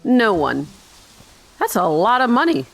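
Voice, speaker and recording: A woman giving casual, chatty let's-play commentary on a video game, thinking out loud as she goes. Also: fairly high pitch, big pitch swings, a little slow, creaky, soft background music, low-quality recording. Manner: rambling, thinking aloud, chatty. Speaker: gamer narrating her own playthrough.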